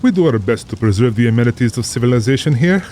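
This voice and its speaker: A man's voice: mid-range voice, animated, fast, dark tone, a little hiss or hum, close to an everyday voice. A man playing a cultured, proud general and big-game hunter in an audio drama, speaking formally and boastfully with polished self-assurance. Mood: genteel, hospitable. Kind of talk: full-cast audio drama acting.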